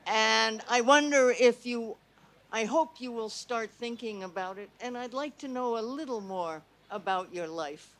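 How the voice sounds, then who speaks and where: A woman in the audience of a live festival talk, asking a question in a gentle, earnest, complimentary way. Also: fairly high pitch, measured pace, slight background noise.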